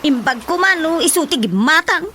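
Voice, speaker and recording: An actress playing a distressed, complaining village wife with an apologetic manner in a radio comedy drama. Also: female voice, sits high, lively intonation, moderate pace, bright, forceful tone, a little hiss or hum. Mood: aggrieved, explaining.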